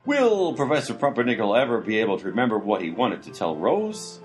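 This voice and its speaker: Animated mock-dramatic male announcer. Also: on the low side, brisk, loud music behind the voice.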